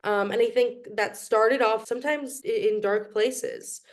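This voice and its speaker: A woman's voice: a little high, fairly even intonation, measured pace. A young woman from New York, a podcast guest who speaks in a reflective, casual way with frequent ums and restarts. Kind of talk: casual interview chat.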